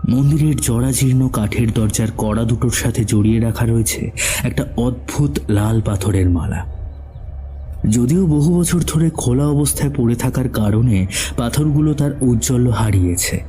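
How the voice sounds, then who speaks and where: A man's voice: medium pitch, moderate pace, mellow, a little hiss or hum. A man narrating a scripted suspense story as the narrator of an audio drama.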